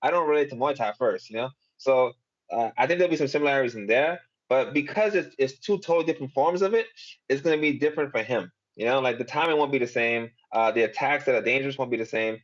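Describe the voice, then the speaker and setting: Fast-talking fighter with muffled audio. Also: male voice, mid-range voice. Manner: rambling, quick, lots of fillers and laughs. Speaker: MMA fighter. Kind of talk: casual interview answers.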